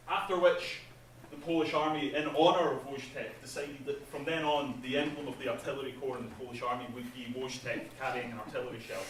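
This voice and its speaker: A man's voice: medium pitch, fairly bright tone. Man presenting in an echoey hall. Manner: chatty, laughs at his own jokes, many ums. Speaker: game developer presenting at an industry event. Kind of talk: casual conference presentation. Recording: echoey hall, speaker far from mic, faint noise.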